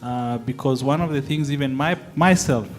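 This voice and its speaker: A man moderates a panel in a theatre hall, keeping things moving with short, encouraging remarks. His pitch is ordinary and middle-range, and he calls on the audience to stand up and ask questions. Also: normal speed.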